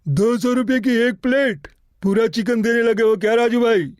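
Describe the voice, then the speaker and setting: A thrifty village customer in an animated tale, acted by a man. The voice is high and dry. His talk is cheeky, colloquial banter. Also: normal speed, dry recording. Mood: incredulous. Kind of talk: Hindi animated moral story dialogue.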